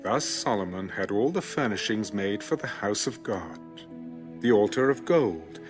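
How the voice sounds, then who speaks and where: A man narrates scripture in a formal, measured reading with a lively, varied pitch. Instrumental music plays clearly behind his voice. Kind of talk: scripted audio Bible narration, read aloud.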